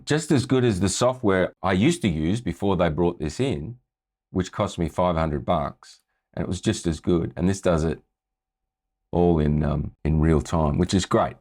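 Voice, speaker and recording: Very deep-voiced, chatty man tutoring. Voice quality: low voice. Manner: chatty, relaxed, often checks in with "right?". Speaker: music tutor. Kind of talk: casual screen-share tutorial.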